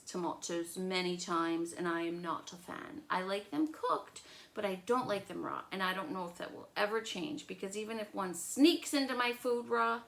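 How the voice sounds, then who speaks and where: A woman hosting children's storytime, telling stories and chatting with young listeners in an expressive, encouraging way. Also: medium pitch, gravelly. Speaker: children's storytime host and teacher. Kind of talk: animated storytelling and chat for children.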